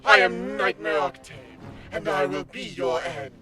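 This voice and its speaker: A man's voice: fairly high pitch, a little slow. A man voicing a boastful, demon-possessed female pony villain in a story reading, theatrical and menacing. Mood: gloating menace. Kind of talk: dramatic fan fiction reading. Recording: loud music behind the voice.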